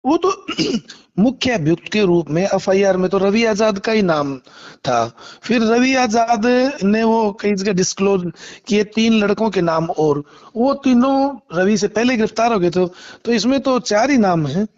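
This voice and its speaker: A man speaking as a lawyer in a phone interview, explaining a case in halting, repeated phrases with polite acknowledgements. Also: high-pitched, lively intonation, darkish tone. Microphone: phone call. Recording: muffled, lo-fi phone call recording.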